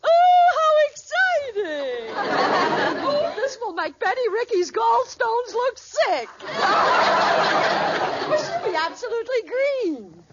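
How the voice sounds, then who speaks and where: Noisy vintage radio comedy: an effusive, gossipy matron, extremely high-pitched and affected. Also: female voice, sing-song, takes it slowly, a loud crowd, exaggerated voice. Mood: gleeful. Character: gushing, gossipy society matron, the best friend. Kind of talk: 1940s radio sitcom acting. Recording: muffled, very noisy old radio recording.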